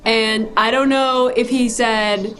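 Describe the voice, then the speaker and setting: A woman's voice: on the high side, fairly even intonation, slow, a bit bright, soft background music, poor audio. A woman telling a rambling, risqué comic story on a live comedy show. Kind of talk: improvised comedy.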